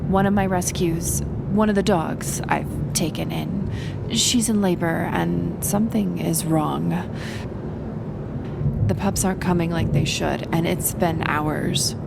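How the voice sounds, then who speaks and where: Slow, gravelly supervillainess, played by a woman, over noise. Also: on the low side, fairly even intonation, full character voice. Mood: earnest, pleading. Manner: teasing, unhurried, pausing between phrases. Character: wry, confident supervillainess who talks to animals. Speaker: voice actor in an audio roleplay. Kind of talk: ASMR roleplay acting, intimate monologue to the listener.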